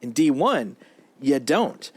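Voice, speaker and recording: A man's voice: medium pitch, sing-song, slow pace, a little mellow, breathy edge. A man chatting casually and in a rambling way as he live streams a video game. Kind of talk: casual gaming stream chat.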